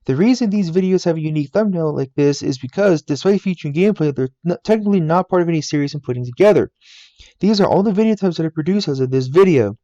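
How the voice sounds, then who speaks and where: A man's voice: fairly high pitch, animated, fast talker, mellow, dry recording, muffled recording. A man in his twenties from the United States, earnestly narrating a scripted voice-over about himself and his channel from a game room at home. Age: 20s. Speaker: YouTuber. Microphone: laptop mic.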